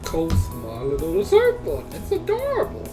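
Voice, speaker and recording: Male gameplay host, fairly high voice, wildly swooping intonation, gushing casual commentary over music. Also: huge pitch swings, normal speed.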